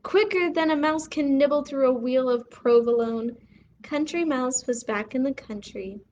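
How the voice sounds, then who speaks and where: A woman hosting a bedtime read-aloud for young children, expressive and putting questions to her listeners. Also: sits high, normal speed, lo-fi sound, a little hiss or hum. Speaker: children's story reader and host.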